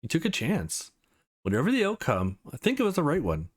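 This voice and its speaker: Easygoing, wry young man for a visual novel, voiced by a man, casual, reassuring, slightly high. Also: huge pitch swings, fairly quick, breathy edge, full character voice.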